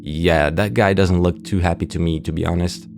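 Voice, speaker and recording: Low, creaky male video narrator. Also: fairly even intonation, normal speed, music in the background. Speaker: video essay host. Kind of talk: scripted narration with dry casual asides.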